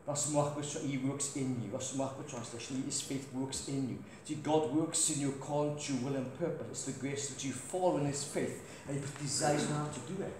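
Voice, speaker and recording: A middle-aged man with a British accent preaching a sermon loudly with stories as he moves around a large church hall, recorded from a distance by a camera. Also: mid-range voice, normal speed, hardly any pauses. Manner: loud crusade-style preaching, walks about. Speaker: guest preacher. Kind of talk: sermon with anecdotes. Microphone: far camera, no mic. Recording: echoey church hall, faint noise.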